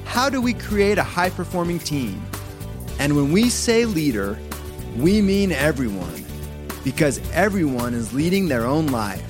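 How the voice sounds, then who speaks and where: A man's voice: on the high side, very animated, a little slow. A man reading a scripted, motivational show opening with rhetorical questions. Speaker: show announcer. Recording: music bed behind voice.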